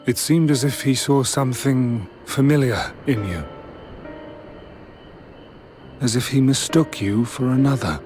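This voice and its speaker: A man playing a solemn, vengeful immortal sorcerer in a fantasy video game, speaking formally and deliberately with cold duty. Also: medium pitch, darkish tone, close to an everyday voice. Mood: pensive. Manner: grave, deliberate, archaic formal speech. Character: solemn, vengeful immortal male sorcerer villain, coldly dutiful. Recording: orchestral music behind the voice.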